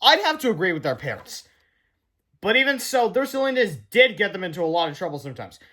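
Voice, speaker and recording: Male children's book reader, notably high-pitched, expressive storyteller with emphatic delivery. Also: quick pace. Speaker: read-aloud reader. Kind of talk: children's book read-aloud narration.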